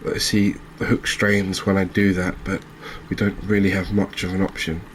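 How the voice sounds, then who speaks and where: A man giving relaxed ASMR commentary over a fishing video game, chatting to viewers and often checking in with tag questions. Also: sits low, moderate pace, a little hiss or hum. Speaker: gaming video host.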